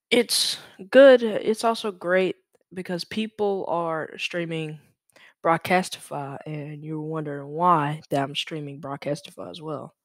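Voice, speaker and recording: A young man from Louisiana hosting a casual, unscripted screen-share tutorial, halting and correcting himself as he goes. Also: on the high side, gravelly, no echo, clean studio sound. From Louisiana, United States. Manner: hesitant, halting, self-correcting. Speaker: tutorial host. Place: indoors at a computer. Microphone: USB microphone.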